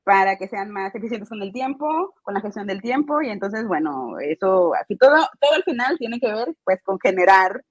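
A woman's voice: mid-range voice, expressive, normal speed, hardly any pauses, husky, no room sound. Woman, interview guest, hesitant, lots of fillers, muffled lo-fi audio, dry. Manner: hesitant, many fillers and restarts, explanatory. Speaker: instructional designer guest. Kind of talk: casual interview answers. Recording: dull lo-fi dry sound.

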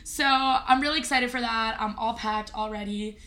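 A woman's voice: on the high side, pushed and bright. A young woman co-hosting a podcast, bantering and teasing her friend in a casual chat. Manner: teasing, jokey, quick banter. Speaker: podcast co-host.

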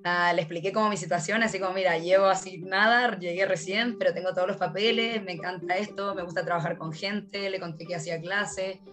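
A woman's voice: fairly low pitch, fairly even intonation, brisk, few pauses, fairly bright tone. A woman from Chile with a Chilean Spanish accent, chatting as an interview guest and telling her story with many fillers and laughs. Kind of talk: casual storytelling conversation.

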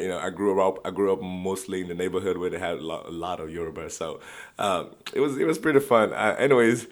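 A young man telling personal stories in a rambling way, with many restarts and frequent laughter. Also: fairly low pitch. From Nigeria. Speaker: vlogger. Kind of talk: casual storytelling.

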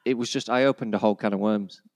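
A man's voice: fairly low pitch, steady tone, fast, dry recording, studio quality. A man with a Yorkshire accent hosting a casual podcast chat. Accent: Yorkshire English. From Yorkshire, England. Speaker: podcast host.

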